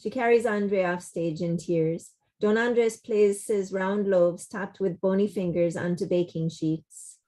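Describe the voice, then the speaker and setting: A woman's voice: medium pitch, fairly even intonation, slow pace, dark tone. A woman reading stage directions as scripted narration, in a plain, descriptive delivery.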